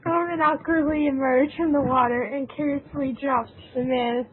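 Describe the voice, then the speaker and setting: A woman's voice: high voice, fairly even intonation, moderate pace. A woman narrating an amateur reading of a fan fiction story, heard through muffled, phone-like audio.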